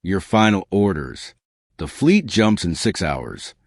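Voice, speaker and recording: A man's voice: low voice, very animated, slow, dry recording, clean studio sound, full character voice. A man voicing a stern, formal admiral in a science fiction audiobook. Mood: sober. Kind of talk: audiobook character dialogue.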